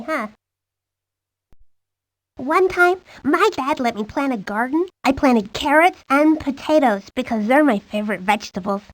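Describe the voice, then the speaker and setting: A woman's voice: high-pitched, dry recording. A woman voicing a chatty, earnest, sporty little girl character in a video game. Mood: chatty, cheerful.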